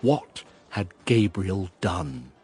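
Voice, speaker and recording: An actor playing a brooding, wistful man who narrates a radio drama in a measured, reflective way. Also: low voice, very animated, a little mellow, faint music behind, heavily acted. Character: brooding, wistful male narrator looking back on lost love.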